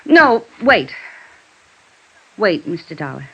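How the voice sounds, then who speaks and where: An actress playing a tense, defensive widow with a coy side in a 1950s radio drama, guarded and wavering between indignant and pleading. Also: female voice, mid-range voice, very animated, slow pace, gravelly, slightly rough, full character voice. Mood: pleading, apologetic. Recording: muffled old radio transcription.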